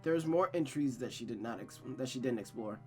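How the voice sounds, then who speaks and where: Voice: mid-range voice, expressive, fairly quick, warm tone. A storyteller with a mid-range voice reads a visual novel aloud over music. The delivery is dramatic, with small stumbles, repeated phrases and casual asides.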